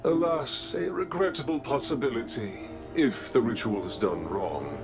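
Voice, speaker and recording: An actor playing an ancient, imperious god who manipulates his followers, speaking in a grand, deliberate, condescending way in a fantasy game. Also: medium pitch, animated, over music, close to an everyday voice. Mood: condescending, menacing.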